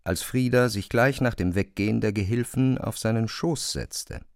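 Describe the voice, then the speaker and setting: A man with a low voice reads literary prose as narrator. The sound is close and very dry, like a studio recording with no room around it. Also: moderate pace, gravelly, no room sound. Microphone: close, dry studio microphone.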